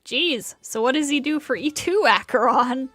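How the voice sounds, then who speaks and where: A woman's voice: sits high, expressive, measured pace, a bit bright. A young adult woman chatting casually as a game streamer, rambling and hesitant, with game music behind her. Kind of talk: casual livestream chat.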